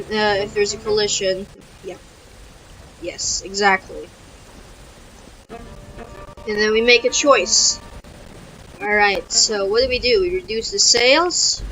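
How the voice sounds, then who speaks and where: A woman's voice: mid-range voice, lively intonation, slow, pauses often, poor audio. Woman narrating a game playthrough. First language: Filipino. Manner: chatty, talks through her choices with restarts. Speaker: gaming YouTuber. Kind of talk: let's-play commentary, reading game text aloud. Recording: loud game music behind the voice.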